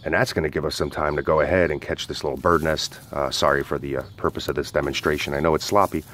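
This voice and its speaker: A man's voice: very deep voice, fast talker, a little hiss or hum. A man, an outdoor skills instructor, casually explaining a fire starter while demonstrating it in his backyard, with lots of "uh" and "go ahead". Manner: relaxed, filler-heavy explaining.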